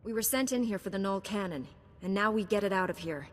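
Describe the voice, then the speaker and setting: An actress playing a confident, calm Jedi knight in a video game, with a dry, assured delivery. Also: female voice, medium pitch, quick pace, long reverb tail, close to an everyday voice. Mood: resolute. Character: composed, confident heroic female Jedi knight.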